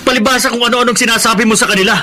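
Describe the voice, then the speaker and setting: High-voiced, exasperated husband. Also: male voice, steady tone, racing, noisy background. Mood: indignant. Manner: pleading, indignant, wearied. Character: exasperated, put-upon husband pleading his innocence. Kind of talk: Filipino radio drama acting.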